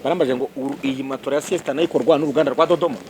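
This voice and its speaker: A man presenting on site, talking in a lively way and quizzing the people around him with questions. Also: mid-range voice, racing, a little hiss or hum. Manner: animated, quizzing listeners. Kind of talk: lively on-site promo talk.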